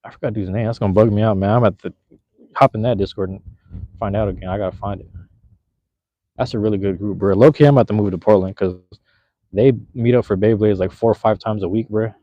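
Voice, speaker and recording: A man's voice: on the low side, fairly even intonation, fast talker, no room sound. Laid-back slangy man on a phone livestream. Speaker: livestream host. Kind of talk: casual live chat with viewers. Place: outdoors at night in a park, cold drizzle. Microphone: handheld phone. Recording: slightly dull lo-fi phone audio.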